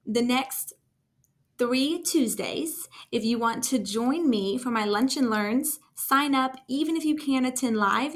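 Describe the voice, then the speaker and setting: A woman talking through a casual screen-share software tutorial as a trainer, in an informal, enthusiastic and encouraging way. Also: on the high side. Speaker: school district technology trainer.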